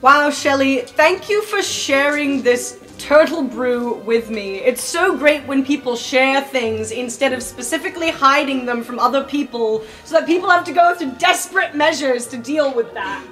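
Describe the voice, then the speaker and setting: A woman's voice: high voice, normal speed, few pauses, a bit bright, soft background music. A woman playing a resentful, passive-aggressive student in a tabletop game with pointed sarcasm. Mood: pointed, sarcastic.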